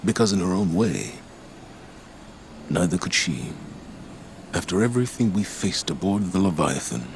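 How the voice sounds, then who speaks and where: An actor playing a weary, grieving middle-aged guardian commander in a video game, speaking in a grave, measured, reflective way. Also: male voice, low voice, expressive, vocal fry, slight background noise. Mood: somber reflection. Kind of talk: dramatic video game voice acting. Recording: dramatic music behind the voice.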